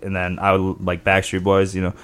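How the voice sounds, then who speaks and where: A man's voice: low voice, monotone, fairly quick, fairly bright tone, smooth. A man in his mid-to-late twenties in casual, joking conversation, with a laid-back, rambling, slangy way of talking. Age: mid-to-late 20s. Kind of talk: casual comedic chat.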